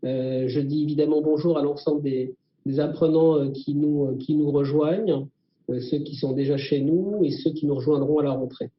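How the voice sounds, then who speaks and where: A man giving a semi-formal welcome address for a school, halting and repeating his words. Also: a little high, steady tone. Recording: echoey, muffled audio.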